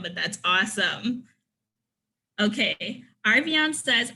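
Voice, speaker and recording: A woman's voice: medium pitch, sharp tone, low-quality recording. A woman from Trinidad giving an online conference workshop, earnestly reading participants' comments and responding to each one. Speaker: conference presenter.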